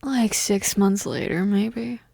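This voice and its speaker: Woman acting a breathy, whispery young goth roommate. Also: medium pitch, steady tone, slow pace, dry recording, studio quality. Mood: tentative, tender. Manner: hesitant, gentle, teasing, breathy murmuring. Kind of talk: intimate audio roleplay, soft and half-whispered.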